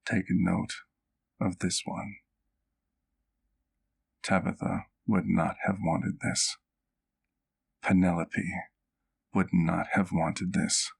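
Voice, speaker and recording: A man playing a gentle, protective vampire doctor in an ASMR roleplay, speaking in a soft, measured, careful way. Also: deep voice, level tone, vocal fry, breathy edge, full character voice. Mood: solemn storytelling.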